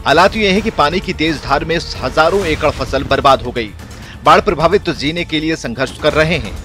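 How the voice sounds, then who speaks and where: A man giving scripted news narration in a formal, measured way. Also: on the high side, a bit bright, faint music behind. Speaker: news reporter.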